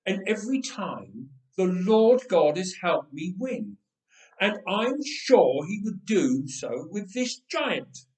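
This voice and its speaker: A man puts on a young shepherd boy from a storybook. The delivery is slow and lively, earnest and plain-spoken, with roomy echo around it. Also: high-pitched, far from the mic, voice pushed up, heavily acted. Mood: earnest, determined. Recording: roomy, echoing room sound.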